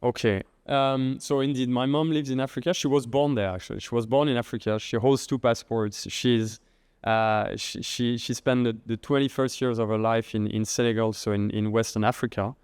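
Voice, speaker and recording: A middle-aged man from France with a French accent, a guest in a podcast interview, speaking haltingly with many restarts. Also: mid-range voice, fairly even intonation, a little mellow, clear, resonant tone, no echo. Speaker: guest, head of treasury and trading.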